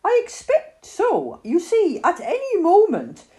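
A woman's voice: very high voice, wildly swooping pitch, unhurried, warm tone, pitched above the performer's own voice, heavily acted. A woman voicing a pompous, formal, long-worded male owl from a children's storybook. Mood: evasive, grave.

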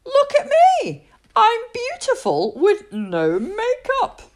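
A woman voicing an excitable, vain young girl in a children's storybook reading. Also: measured pace, full character voice. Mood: excited. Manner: high, excited, exclamatory.

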